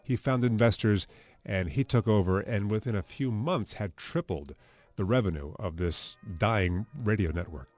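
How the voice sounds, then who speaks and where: A man's voice: lowish voice, moderate pace, darkish tone, soft background music. An American man giving expert interview commentary, with an emphatic manner that builds phrases by repetition. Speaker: radio anchorman, expert interviewee.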